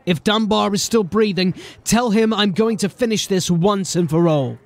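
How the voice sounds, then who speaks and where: A man's voice: high voice, normal speed, a little mellow. A man playing a grim, determined city watch officer in a fantasy audio drama, speaking in a terse, commanding way. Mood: resolute.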